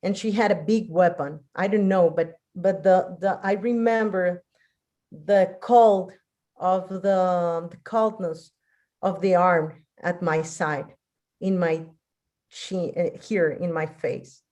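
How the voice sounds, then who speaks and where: Slow-talking Colombian woman, halting delivery. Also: fairly low pitch, steady tone, a little mellow. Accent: Colombian Spanish. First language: Spanish. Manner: halting, searching for words in non-native English. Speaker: guest speaker. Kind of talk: unscripted personal storytelling.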